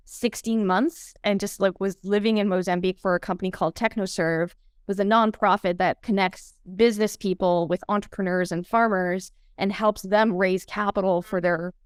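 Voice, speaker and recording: A woman's voice: medium pitch, clean tone, no room sound, clean studio sound. A woman in her thirties from Canada, a guest telling her story in a casual interview, chatty with many fillers. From Canada (Vancouver). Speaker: guest, entrepreneur.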